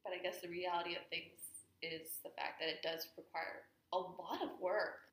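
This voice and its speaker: A young woman co-hosting a podcast in casual chat, talkative and quick to laugh. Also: fairly low pitch, steady tone, fairly bright tone. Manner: laughs easily, chatty with many fillers. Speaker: podcast co-host. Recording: echoey room.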